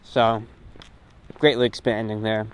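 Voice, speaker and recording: A man's voice: lowish voice, level tone, measured pace. A man hosting his own show, talking in a casual, reflective, rambling monologue of short phrases, recorded outdoors on a walk. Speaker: host of a radio and online show. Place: outdoors, recorded while walking. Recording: clear ambient noise behind the voice.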